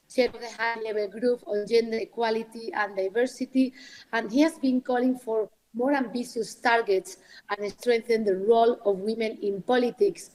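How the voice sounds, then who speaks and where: A woman moderating a panel, introducing the next speaker slowly and hesitantly, with fillers. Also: fairly high pitch, rough recording.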